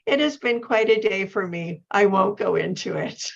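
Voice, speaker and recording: A woman's voice: medium pitch, lively intonation, normal speed, vocal fry. A woman hosting an online poetry reading with an informal, effusive and apologetic introduction over a video call. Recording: dull, lo-fi video call audio.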